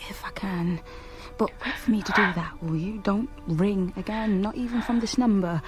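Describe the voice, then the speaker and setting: An actress playing a fearful, secretive woman in a radio drama, hushed and whispering. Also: mid-range voice, normal speed, music in the background, natural voice. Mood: guarded, anxious. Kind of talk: naturalistic radio drama acting.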